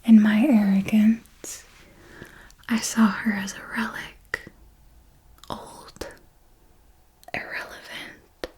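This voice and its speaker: A woman playing an ancient, refined female vampire mentor in an ASMR roleplay, telling her story in a deliberate, theatrical and elegant manner. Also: medium pitch, flat delivery, warm tone. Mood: wistful, elegant menace. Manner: slow, deliberate, theatrical, elegant old-fashioned phrasing.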